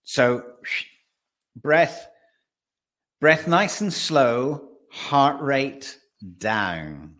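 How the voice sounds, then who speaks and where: A man who works as a voice and presence coach, explaining breathing and voice as a webinar guest. He talks with animation, restarts often and slows down to stress points. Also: medium pitch, big pitch swings, fairly bright tone.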